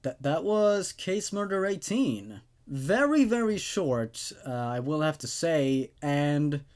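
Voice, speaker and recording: Expressive man reading and reviewing horror stories. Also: on the high side. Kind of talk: dramatic story reading and casual review commentary.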